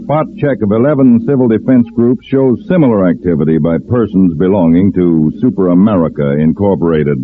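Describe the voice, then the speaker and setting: An actor playing an authoritative counterspy chief issuing a terse report in an old-time radio drama. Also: male voice, sits low, animated, fairly quick, hardly any pauses. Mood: commanding. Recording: tinny vintage radio recording, organ behind.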